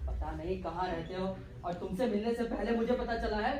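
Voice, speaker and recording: A man's voice: high voice, a little fast, natural voice. A man playing an indignant young spendthrift son in a comic stage play, haughty and complaining. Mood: exasperated. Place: theatre stage, distant microphone. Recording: echoey, noisy stage recording from far off.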